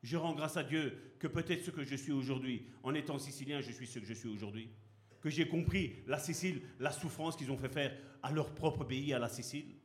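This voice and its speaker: A man's voice: mid-range voice, fairly quick. A man preaching a sermon as pastor, speaking with passion and throwing rhetorical questions at his congregation. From Belgium. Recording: echoey hall.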